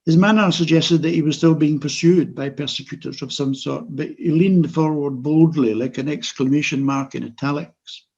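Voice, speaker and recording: Older man, gravelly reading voice. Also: on the high side, fairly even intonation, darkish tone, dull audio. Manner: measured, wry literary reading. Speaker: author. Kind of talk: reading his own memoir prose aloud.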